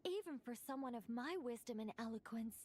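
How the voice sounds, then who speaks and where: An actress playing a wise, composed female angel in a video game, speaking in formal, measured, articulate phrases. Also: on the high side, animated, a little mellow, a little hiss or hum, lightly acted. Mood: composed, persuasive. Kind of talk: scripted video game voice acting.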